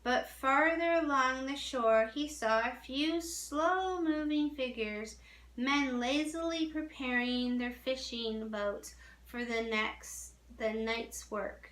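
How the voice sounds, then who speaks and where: A woman reading a story aloud as narration, deliberately, with small stumbles over the text, in a roomy, echoing recording. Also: high voice, a bit bright.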